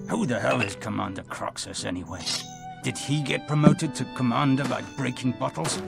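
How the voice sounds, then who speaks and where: An actor playing a scornful, grumbling fantasy underling in a video game cutscene, gruff and sarcastic. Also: male voice, mid-range voice, moderate pace. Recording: loud music behind the voice.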